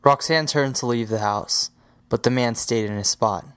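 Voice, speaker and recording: A man's voice: mid-range voice, moderate pace, fairly bright tone, clean tone. A man reading scripted story narration as the narrator of an audio drama.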